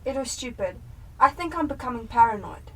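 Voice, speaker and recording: A woman's voice: a little high, expressive, fairly quick. A young South African woman playing an earnest, emotionally invested police detective in a radio drama. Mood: troubled, confiding. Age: young adult. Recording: distant mic, noisy room ambience.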